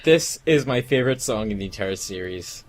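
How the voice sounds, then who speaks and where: A man's voice: on the low side, lively intonation, moderate pace. A man chatting casually as a commentator among friends. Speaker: fandub team commentator.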